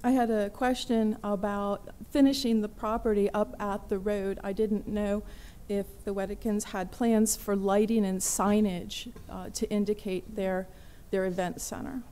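A middle-aged woman giving halting public testimony at a standing mic in a large hearing room, with many fillers. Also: medium pitch, little pitch movement, mellow.

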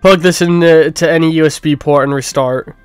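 A man voicing a calm, nerdy young male bear in a game playthrough, speaking lower and softer than his own voice. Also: brisk, clear, resonant tone, no room sound, soft background music, close to an everyday voice. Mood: explaining.

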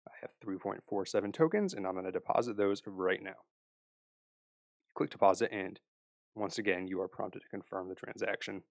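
A young man with a fairly low voice talks viewers through a screen-recorded tutorial step by step. His delivery is relaxed and explanatory, full of "uh"s and small restarts. The sound is close and dry, with no room around it. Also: normal speed, vocal fry, dry recording. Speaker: crypto tutorial host.

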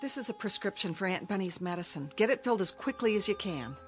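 A woman's voice: medium pitch, expressive, fast talker, soft background music, close to an everyday voice. Woman playing a worried, protective mother. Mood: practical, concerned. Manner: concerned, gently insistent. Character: worried, protective, sensible small-town mother. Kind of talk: modern radio drama acting.

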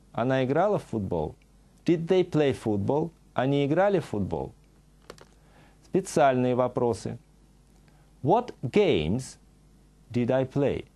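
A middle-aged man teaching on a TV studio set, reading a scripted lesson and saying example sentences slowly and carefully. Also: medium pitch, warm tone, slightly rough. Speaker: English teacher.

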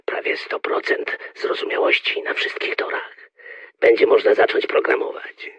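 A man playing a terse, agitated conspirator in an audio drama, with a husky, gravelly voice and clipped, secretive delivery. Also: moderate pace, no room sound, lightly acted. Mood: secretive, businesslike. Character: terse, agitated male conspirator reporting in code. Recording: dull, lo-fi radio-like sound.

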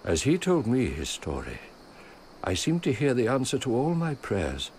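An actor playing a devout, shrewd old merchant and loyal steward in a radio drama, speaking in an earnest, measured way. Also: male voice, medium pitch, huge pitch swings, a little mellow. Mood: fervent. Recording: radio drama with faint background noise.

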